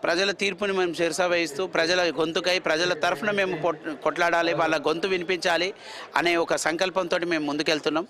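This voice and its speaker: A male political party member with a high, sharp-edged voice. He speaks, setting out his answers in an interview. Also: flat delivery, fast talker.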